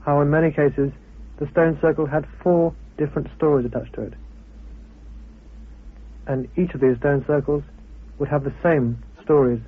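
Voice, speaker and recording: Higher-voiced Englishman, husky, on tinny radio. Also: fairly even intonation, normal speed, pauses often. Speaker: sociologist and amateur antiquity researcher. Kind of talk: expository talk. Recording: thin, band-limited old radio recording.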